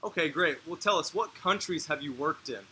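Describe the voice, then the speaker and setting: A man's voice: on the high side, animated, moderate pace, sharp tone, slight background noise, rough recording. A man hosting an interview, asking short questions and giving brief acknowledgements. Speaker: interviewer, channel host.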